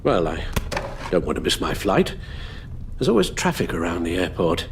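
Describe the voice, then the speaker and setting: An actor playing a weary, sorrowful elderly English butler in a video game, with a British accent and a formal, measured, emotive delivery. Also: male voice, low voice, very animated, a little hiss or hum. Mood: sad, resigned. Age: older. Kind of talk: dramatic video game voice acting.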